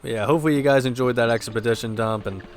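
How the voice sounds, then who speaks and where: Halting man doing game commentary, slightly low voice. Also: slight background noise. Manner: halting, stumbles while reading aloud. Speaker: gameplay video host. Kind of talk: casual game commentary with scripted lore read aloud. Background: game music.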